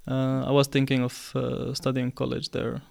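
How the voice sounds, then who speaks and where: A man in his twenties from Syria, answering interview questions in a casual, hesitant way with many pauses and fillers. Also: medium pitch, completely flat delivery, creaky, darkish tone. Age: 20s. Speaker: podcast guest.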